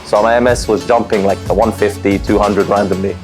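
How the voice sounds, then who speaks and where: Casting note: young streamer, low voice, candid and animated, music underneath. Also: male voice, brisk. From Lebanon. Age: young adult. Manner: animated, candid, lots of fillers. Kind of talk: personal interview storytelling.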